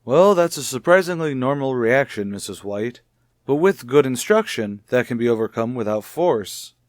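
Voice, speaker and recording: A man acts a formal child psychologist in a vintage radio drama. His voice is mid-pitched and recorded very dry. He questions calmly and deliberately, with a probing clinical manner. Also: no room sound, lightly acted. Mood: reassuring. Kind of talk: vintage radio soap opera acting.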